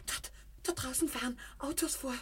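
A woman's voice: sits high, normal speed, fairly bright tone. A young woman playing an eager, anxious burglar's apprentice in a 1950s radio crime comedy. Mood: frightened. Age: young adult. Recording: old radio recording with clear noise.

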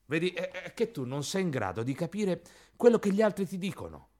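Exasperated, animated know-it-all. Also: male voice, high voice, brisk. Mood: pedantic, exasperated. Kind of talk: radio theatre acting.

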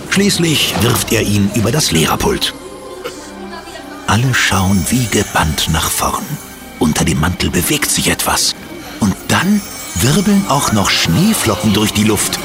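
A man narrating a scripted German children's audio drama in an expressive storytelling manner. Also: on the low side.

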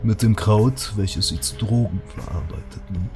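Guy doing stern religious chronicler for audio drama, low mellow voice, super slow n solemn, preachy about heretics. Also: soft background music, close to an everyday voice. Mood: solemn condemnation. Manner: solemn, preaching, archaic and measured. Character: devout, zealous male cardinal chronicler condemning heretics.

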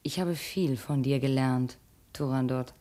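Deep-voiced, scheming haughty woman. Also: measured pace, warm tone. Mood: coldly confident. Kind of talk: 1950s German radio drama acting.

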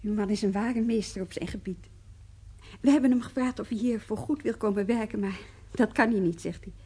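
Husky, courteous woman conservator, played by an actress. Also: medium pitch, brisk, mellow, close to an everyday voice. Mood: careful explaining. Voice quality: husky, breathy. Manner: polite, hesitant, explaining carefully. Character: courteous woman art conservator admiring a colleague's work. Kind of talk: naturalistic radio drama acting.

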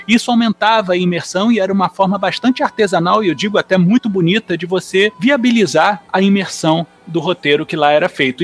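High-voiced Brazilian man chatting on a podcast. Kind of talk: casual podcast discussion.

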